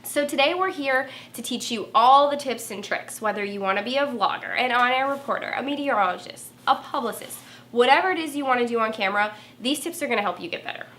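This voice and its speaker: A woman's voice: a little high, lively intonation, quick pace, hardly any pauses, pushed and bright. A young woman with a nasal voice and a slight valley-girl lilt, co-hosting a casual talk giving tips on speaking to a camera. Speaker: co-host, former TV reporter.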